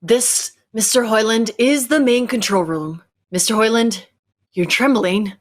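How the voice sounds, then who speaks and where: A woman playing a knowing, imperious rebel captor who speaks deliberately and probingly in a radio sci-fi drama. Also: fairly high pitch. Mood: knowing, superior. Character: commanding, knowing rebel captor challenging orthodox belief. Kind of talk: cold-read old-time radio sci-fi drama.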